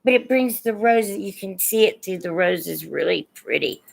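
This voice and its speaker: A woman's voice: mid-range voice, expressive, moderate pace, creaky. A middle-aged or older woman from Indiana with a Midwestern American accent, chatting casually as she sells vintage pieces on a livestream and addresses viewers with endearments. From Indiana, United States. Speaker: live online seller of vintage and antiques. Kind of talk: casual livestream sales chat.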